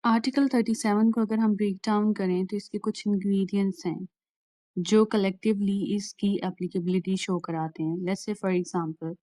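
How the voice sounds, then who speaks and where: Mellow-voiced Pakistani woman lecturing. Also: medium pitch, no echo. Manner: methodical, repeats and rephrases points. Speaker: law lecturer. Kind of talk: explanatory lecture, switching between Urdu and English.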